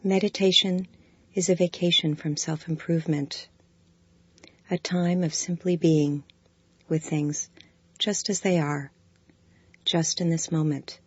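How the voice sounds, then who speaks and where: A woman guiding a body scan meditation, giving slow, soft, calm instructions. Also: low voice, husky, no echo, dull audio. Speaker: meditation guide.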